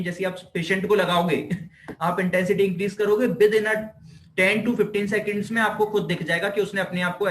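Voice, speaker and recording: A man with an Indian accent and a distinctly high voice teaches in an informal lecture style. He explains step by step, repeating his points and addressing students directly. The sound is roomy, with a clear echo around his voice. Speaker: physiotherapy teacher.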